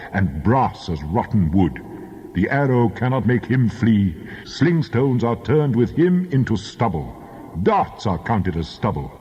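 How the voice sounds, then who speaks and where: An actor playing a mighty, wrathful God in a dramatized scripture reading, solemn and declamatory, with a husky voice. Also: male voice, mid-range voice, warm tone. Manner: solemn, declamatory, grandly measured. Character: God, mighty, majestic, wrathful male deity. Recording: muffled, long cavernous reverb.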